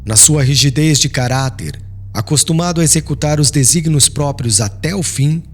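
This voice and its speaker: A man's voice: a little high, moderate pace, soft background music. A man narrating a literary audiobook in a solemn, formal, dramatic storytelling style.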